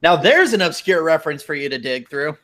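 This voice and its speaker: A man's voice: a little high, big pitch swings, a little fast, fairly bright tone. A man in his twenties in a casual group chat, blunt and crude, swearing and cracking wry jokes. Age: 20s.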